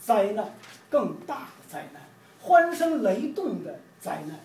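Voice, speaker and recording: A middle-aged man from China reads his own poetry in a measured, emphatic, declamatory way. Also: high-pitched, big pitch swings, darkish tone. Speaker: poet reading own work. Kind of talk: poetry reading. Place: room with large windows, indoors. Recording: distant roomy event recording, background noise.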